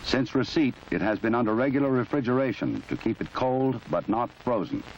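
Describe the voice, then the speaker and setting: A man reading formal, measured, instructional narration for a training film, in a precise scripted documentary style. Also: medium pitch, animated. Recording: muffled, noisy old 1970s film soundtrack.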